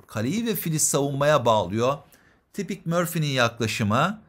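A man's voice: mid-range voice, big pitch swings, normal speed, fairly bright tone. A man giving instructional chess commentary, explaining moves and posing questions that he then answers.

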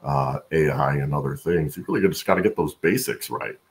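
A middle-aged man with an extremely low, gravelly voice. He talks as an expert guest on a video call, explaining things step by step with frequent hesitations. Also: fairly quick. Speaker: guest, supply chain expert. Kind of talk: interview answers, explaining work practice. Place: room at home, on a video call.

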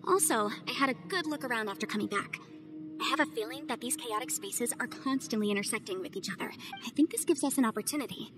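A woman's voice: fairly high pitch, natural voice. An actress playing a confident young woman legal adviser, earnest and reasoning things out aloud. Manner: analytical, earnest, persuasive.

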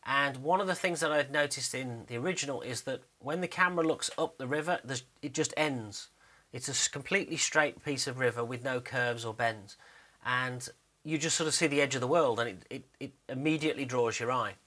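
A man with a very bright, forward voice at an average pitch talks through a screen recording of his animation work. He is casual and unscripted, rambling and hesitant as he explains, in a modest, self-deprecating way. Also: a little fast. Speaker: animator and video narrator.

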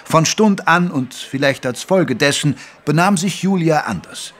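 An actor playing a genteel, good-natured Victorian doctor who narrates an audio drama in a measured, literary way. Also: male voice, on the high side, animated, fairly bright tone. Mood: measured, narrating.

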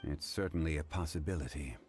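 An actor playing a calm, learned man who speaks in a measured, formal way with a deep voice. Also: music in the background. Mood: grave. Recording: game audio with faint ambience.

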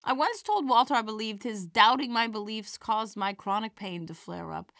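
A woman plays a feisty old granny who does stand-up in an audio drama. Her voice is bright and fairly high, with wry, theatrical punchlines. The sound is very dry. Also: animated, moderate pace, vocal fry, no room sound. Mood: wry, playful. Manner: wry, theatrical comic delivery. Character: feisty elderly granny stand-up comedienne, warm-hearted and wisecracking.

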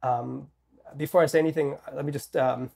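A man in his thirties, slightly nasal from a head cold, speaking in a measured, deliberate way with many ums and restarts as a guest on a video call. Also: medium pitch, steady tone, creaky, warm tone, slightly rough. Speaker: political scientist guest. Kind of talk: interview conversation. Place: home office with bookshelves.

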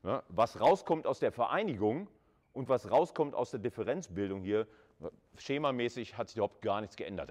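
A man lecturing at university in a conversational way, repeating words for emphasis and adding short checking tags. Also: fairly low pitch. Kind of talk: informal screen-recorded lecture with live coding and slides.

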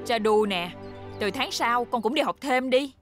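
A woman voicing a brisk, emphatic mother of a schoolgirl in a cartoon dub. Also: a little high, over music, natural voice. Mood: agreeable.

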